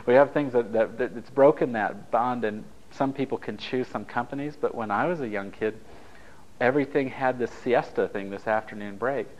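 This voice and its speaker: A man's voice: mid-range voice, moderate pace. Man leading a workshop, dull lo-fi tape. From Italy. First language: Japanese. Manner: conversational, anecdotal, joking with the group. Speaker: workshop leader. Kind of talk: taped relationship workshop talk.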